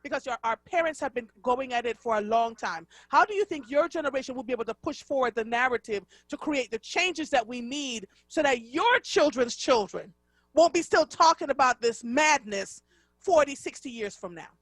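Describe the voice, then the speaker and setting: A woman in her fifties from Jamaica hosting a live radio talk show, speaking in an expressive, emphatic way. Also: sits high, normal speed, no echo. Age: 50s. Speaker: radio host.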